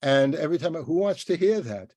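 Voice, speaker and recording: Mellow-voiced man, halting interviewer. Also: fairly high pitch, lively intonation, no echo. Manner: halting, rambling, with many repeated word starts. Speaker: interviewer and podcast host. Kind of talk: casual interview chat.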